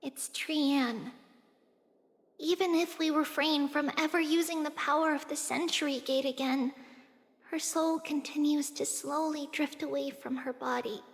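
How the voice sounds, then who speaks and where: A woman voicing a gentle, childlike girl in a video game who speaks softly and earnestly with wisdom beyond her years. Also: high voice, fairly even intonation, measured pace. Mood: troubled. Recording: long reverb, faint music behind.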